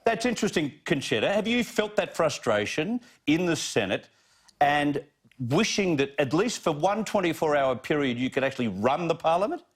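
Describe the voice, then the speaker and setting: A man's voice: on the high side, sing-song, normal speed. A man hosting a talk radio show, chatty and teasing as he asks questions. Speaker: radio host.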